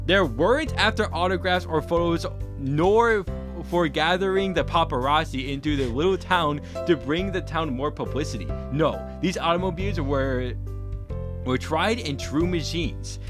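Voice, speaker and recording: Man, podcast host, casual read-aloud, stumbling, self-correcting, lively intonation, guitar music behind. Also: on the high side. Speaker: podcast host reading fanfiction.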